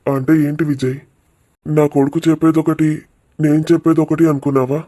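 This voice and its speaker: Man acting as middle-aged cartoon father, very muted warm tone, even intonation, firm, reassuring. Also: fairly high pitch, a little fast, natural voice. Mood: earnest, reassuring. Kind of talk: cartoon village drama dialogue.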